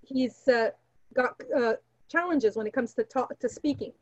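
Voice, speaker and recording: Female podcast guest, Portuguese mother tongue, high pitch, candid conversational delivery, slightly muffled mic. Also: unhurried. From Canada. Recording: roomy, slightly dull remote microphone.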